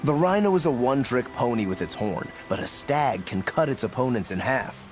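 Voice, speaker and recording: A man's voice: medium pitch, animated, fairly quick, soft background music, natural voice. A man voicing an easygoing, boyishly enthusiastic swordsman in a video game, informal and breezy. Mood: excited, argumentative. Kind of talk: English video game voice acting.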